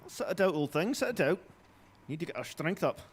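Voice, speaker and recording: A man acts a brisk, chummy gentleman assistant in a live audio drama. His voice sits a little high, and he talks in a hearty, clipped old-chap manner. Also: very animated, husky.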